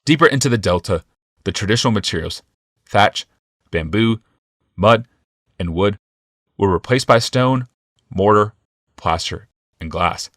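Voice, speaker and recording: A man narrates a fantasy novel in a low voice. The recording is close and dry, in a scripted storytelling style. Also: animated, unhurried, pauses often, fairly bright tone, no room sound. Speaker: audiobook narrator.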